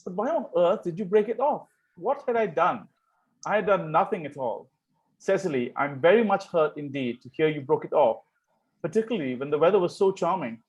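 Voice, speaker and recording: A man reading the part of a smitten, ardent young Victorian gentleman in an amateur table read of a stage comedy, wooing earnestly. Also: a little high, lively intonation, a little fast, highs cut off, lightly acted. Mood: hurt.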